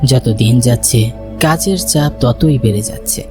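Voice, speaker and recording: A man playing a homesick, worried village son, narrating a dramatized first-person story in an expressive, reflective way. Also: medium pitch, unhurried, music in the background, lightly acted. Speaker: narrator and main character.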